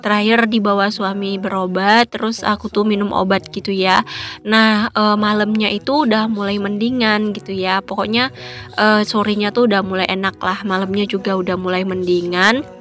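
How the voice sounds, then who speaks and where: A woman's voice: mid-range voice, flat delivery, normal speed, a bit bright, faint music behind. A woman with a stuffy nose from a cold, chatting casually in a cooking vlog. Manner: chatty, many fillers. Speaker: vlogger, housewife.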